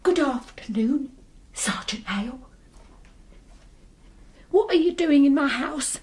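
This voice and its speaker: A woman's voice: high-pitched, animated, slow pace, a little mellow. A woman playing a frightened, questioning young English daughter on the run in an audio drama, speaking fretfully. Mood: resigned, pleading.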